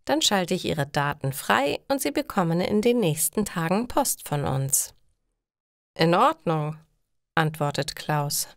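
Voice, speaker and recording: A woman reading simple scripted stories for language learners in a measured, clearly enunciated way. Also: sits low, sing-song, dry recording, studio quality. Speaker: language-learning story narrator.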